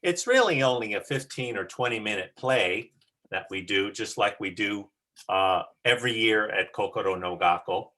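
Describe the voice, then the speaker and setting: A drama teacher giving deliberate, emphatic instruction in short phrases during an online rehearsal. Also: deep voice, pushed and bright. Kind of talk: instructional talk on a video call.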